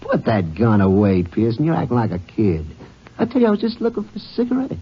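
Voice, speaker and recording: A nervous American killer in an old radio western, jumpy and evasive. His mid-pitched voice swings wildly, on a dull, slightly noisy recording. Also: huge pitch swings, fairly quick. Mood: uneasy bluster. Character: touchy, nervous male killer cornered by a lawman. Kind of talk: 1950s western radio drama acting.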